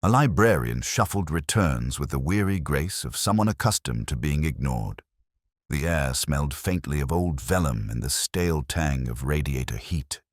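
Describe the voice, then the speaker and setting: Very deep-voiced man narrating detective fiction. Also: lively intonation, moderate pace, no echo, studio quality. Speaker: audiobook narrator. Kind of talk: scripted first-person detective story narration.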